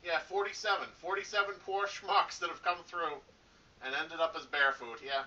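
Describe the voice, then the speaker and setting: A man playing a nervous, rambling pizzeria employee in a parody horror-game phone message, halting and falsely reassuring, on a cheap telephone-like microphone. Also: on the high side, fairly even intonation, sharp tone. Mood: muttering, callous. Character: nervous, rambling phone-message guy briefing a doomed night guard. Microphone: cheap replacement microphone.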